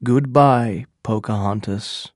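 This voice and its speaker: A narrator voicing a regretful older English man in audiobook dialogue, slow and apologetic. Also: mid-range voice, dry recording, clean studio sound.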